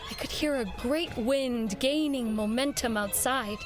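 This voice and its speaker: A woman's voice: on the high side, a little slow, close to an everyday voice. An actress playing an anxious, earnest woman who recounts a horrifying ordeal in an intense, theatrical audio drama style. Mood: haunted, grave. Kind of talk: dramatic first-person horror narration with acted dialogue. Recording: music under the voice. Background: dramatic music.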